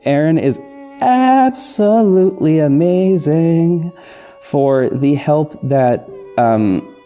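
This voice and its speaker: A man hosting a casual, unscripted podcast update, talking in a rambling, halting and effusive way. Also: on the high side, animated, dark tone, smooth. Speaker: podcast host and audio drama creator. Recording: dull muffled sound with music bed. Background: backing music.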